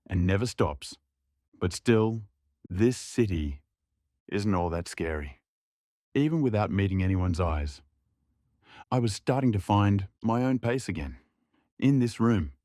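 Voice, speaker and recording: A young man narrating a drama in the first person, in a quiet, reflective way. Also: lowish voice, no echo, clean studio sound.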